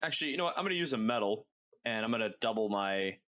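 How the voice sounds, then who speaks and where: Lively male tabletop gamer, expressive pitch. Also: quick pace. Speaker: player in a tabletop role-playing podcast. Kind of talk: casual banter at the game table.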